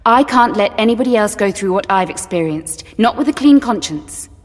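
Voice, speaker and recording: Actress as husky, resolute soldier woman. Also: medium pitch, animated, normal speed, big-room sound, close to an everyday voice. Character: resolute, weary woman soldier returned from death. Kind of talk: audio drama acting.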